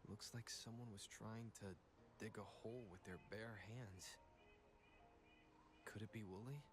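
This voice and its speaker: A man's voice: fairly low pitch, steady tone, a little slow, lightly acted. An actor voicing an observant, matter-of-fact male adventurer in a video game. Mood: curious. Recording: background music under the voice.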